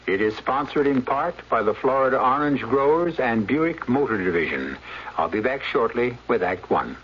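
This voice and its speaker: A man hosting and narrating a radio mystery in a measured, dramatic, scripted style, as an ominous storyteller. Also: mid-range voice, animated, noisy background, close to an everyday voice. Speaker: host and narrator. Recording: dull old radio broadcast recording.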